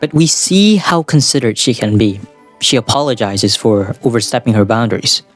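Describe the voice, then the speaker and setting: Man, anime commentary, semi-scripted, rambling self-mockery, medium-pitched voice, background music. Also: animated, moderate pace. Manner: rambling, self-deprecating, crude joking. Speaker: anime video essayist. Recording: music behind the voice.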